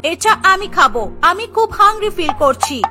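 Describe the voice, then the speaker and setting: A woman's voice: very high voice, sing-song, measured pace, over loud music, exaggerated voice. A woman voicing a spoiled, bossy young wife in a cartoon, demanding and contemptuous, dropping English phrases into her talk. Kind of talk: animated moral-tale cartoon acting.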